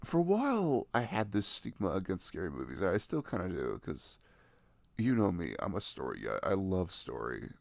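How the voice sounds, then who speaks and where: A young man playing a sweet, playful boyfriend in an intimate ASMR roleplay, rambling softly and casually close to the microphone. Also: sits low, huge pitch swings, moderate pace, vocal fry, dry recording, exaggerated voice. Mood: casual, reflective. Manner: soft, rambling, frequent chuckles and hums. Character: sweet, playful, affectionate boyfriend cuddling his partner.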